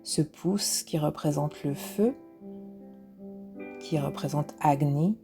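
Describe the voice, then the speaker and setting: A woman instructor leading a guided relaxation meditation in a soft, soothing, unhurried way with pauses, with music behind her. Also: deep voice. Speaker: yoga nidra instructor.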